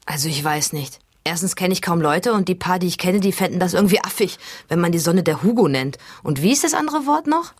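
A woman's voice: fairly low pitch, lively intonation, fairly quick, few pauses, slightly rough. A woman playing a naive, sceptical, socially awkward character in a satirical radio dialogue. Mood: dry, doubtful.

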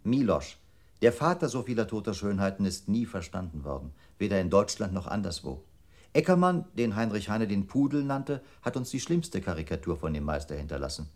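An actor playing an obedient computer unit in a radio play, reciting in a formal, measured and exact way. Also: male voice, on the low side, sing-song, a little mellow.

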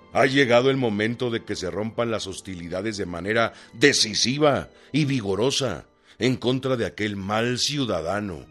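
An actor playing a resolute revolutionary general, solemnly reading out a formal letter. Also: male voice, fairly low pitch, a bit bright. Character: resolute male revolutionary general dictating a cordial war letter. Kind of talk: radio drama, reading a letter aloud.

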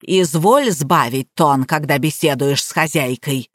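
Woman acting a sly, low-voiced old lady. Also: big pitch swings, a little slow, no room sound, studio quality, full character voice. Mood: haughty, sly. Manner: drawling, saccharine, theatrical. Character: sly haughty old woman, falsely sweet, plaintive schemer.

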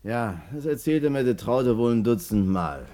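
Boastful ladies' man played by a man, very animated pitch, insinuating colloquial delivery, vintage radio drama. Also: moderate pace, natural voice. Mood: boastful recounting. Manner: colloquial, insinuating, emphatic storyteller. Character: smooth-talking, boastful ladies' man, Berlin salon helper. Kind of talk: 1950s German radio play acting.

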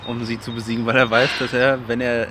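Young man, enthusiastic student chat, mid pitch, faint background noise. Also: level tone, a little fast. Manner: enthusiastic, run-on sentences. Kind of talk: casual chat.

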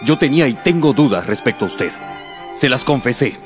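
An actor playing a proud, reserved gentleman suitor in a period romance radio drama, speaking formally and gravely. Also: medium pitch, big pitch swings, a little fast, over music, lightly acted. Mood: offended, proud. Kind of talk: Spanish-language radio drama acting.

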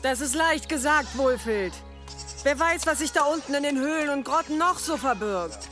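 A woman's voice: sits high, animated, normal speed, fairly bright tone, soft background music. A woman playing a worried, grave village mother in a German radio drama.

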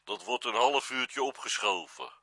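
An actor playing an agreeable, slightly dim comedy radio sidekick, answering in short hesitant phrases. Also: male voice, medium pitch, animated, measured pace, crowd noise, close to an everyday voice. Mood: matter-of-fact. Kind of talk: broadcast comedy radio show banter.

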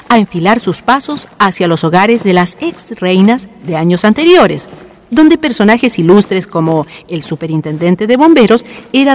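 Female radio-drama narrator, scripted storytelling, mid-pitched, animated intonation. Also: slight background noise.

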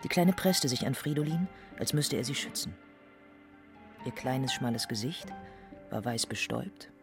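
A man's voice: mid-range voice, animated, moderate pace, over music. A man reading literary narration in a radio play.

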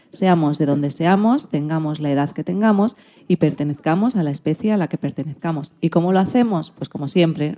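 Low, mellow-voiced Spanish woman hosting. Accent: Spanish from Spain. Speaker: radio programme host. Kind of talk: warm-up radio presenting, addressing listeners and co-hosts.

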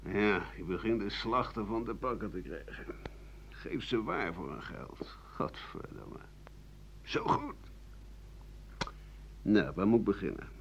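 Sardonic old doctor, embittered, grumbling, theatrical, swooping intonation, muffled old radio recording. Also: male voice, fairly low pitch, sing-song, measured pace, creaky, pauses often, a little hiss or hum, full character voice. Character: bitter, sardonic old French doctor-writer, disgraced and rambling. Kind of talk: 1980 Dutch radio play monologue.

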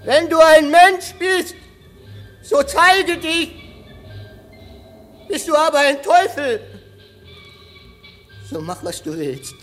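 An actor playing a pompous, blustering drunkard who would be king, husky and stammering, in a classic radio play. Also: very high-pitched, lively intonation, slow, pauses often, a bit bright, soft background music, heavily acted, poor audio. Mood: frightened defiance.

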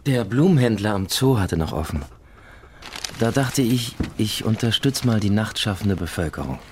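An actor in a German radio drama playing a brusque, hot-tempered police inspector with a clipped way of talking. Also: male voice, fairly low pitch, animated, moderate pace, noisy background, lightly acted. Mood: apologetic. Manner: curt, clipped questioning.

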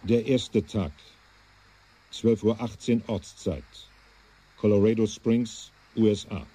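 A man's voice: fairly low pitch, steady tone, slow, mellow, slightly rough. An actor playing a terse, matter-of-fact male narrator announcing scenes in a radio drama. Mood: terse, neutral.

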